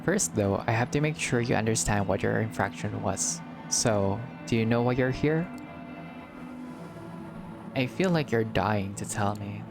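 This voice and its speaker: Man playing a low-voiced, domineering crime boss. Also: gravelly. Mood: smug menace. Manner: slow, domineering, mock-polite threats. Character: menacing, bigoted male crime boss, smug and violent. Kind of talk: visual novel villain dialogue read aloud. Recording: loud music under the voice.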